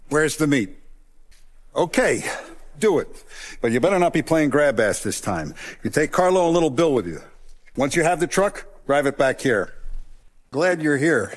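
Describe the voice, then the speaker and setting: An actor playing an older Italian-American mafia boss in a video game, paternal, calculating and ruthless, with measured, streetwise authority. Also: male voice, a little high. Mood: easy authority. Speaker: crime boss character. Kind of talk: cinematic video game voice acting.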